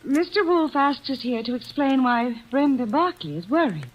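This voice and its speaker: An actress playing a cold, haughty widow in an old radio drama, crisp and disdainful. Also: female voice, sits high, animated, moderate pace, highs cut off, a little hiss or hum. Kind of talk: 1940s radio acting.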